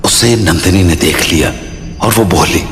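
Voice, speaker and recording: A man's voice: deep voice, a little slow. A man narrating a scripted horror story as the storyteller. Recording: long reverb, music behind. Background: background music.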